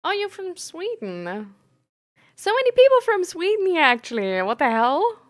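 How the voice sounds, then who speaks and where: A young woman chatting casually on a live stream, full of fillers and quick reactions to chat. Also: extremely high pitch, wildly swooping pitch. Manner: chatty, filler-heavy, reacts aloud to chat with surprise and laughter. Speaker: streamer and peer support coach.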